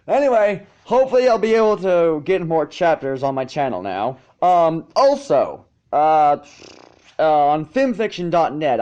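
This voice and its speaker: Animated man reading fan fiction aloud. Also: a little high, lo-fi sound. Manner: stumbles over lines and self-corrects. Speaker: narrator and channel host. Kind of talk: amateur fan fiction reading with casual asides.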